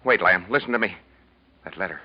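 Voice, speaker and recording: A man acting a cornered, desperate businessman in a 1940s radio mystery, pleading and protesting urgently in a husky voice on a muffled vintage recording. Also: low voice, animated, a little fast.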